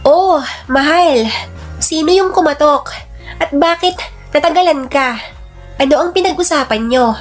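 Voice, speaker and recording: A woman playing a caring, soft-hearted wife in a radio drama, speaking in a tender, expressive and concerned manner. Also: sits high, a little slow, pauses often, soft background music, pitched above the performer's own voice. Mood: curious, tender.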